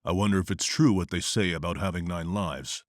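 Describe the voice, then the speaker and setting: A man playing a grim, brooding vigilante who narrates and speaks tersely and gravely. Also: sits low, fairly quick, soft background music, close to an everyday voice. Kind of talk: inner narration and dialogue.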